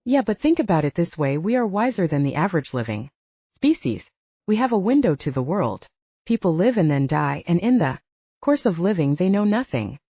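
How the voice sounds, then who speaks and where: A woman voicing a guarded, knowing young female vampire in an audiobook, soft-spoken and explanatory. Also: fairly low pitch, very animated, moderate pace, slightly rough, dry recording, highs cut off, full character voice. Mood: earnest, explaining. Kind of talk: audiobook character dialogue.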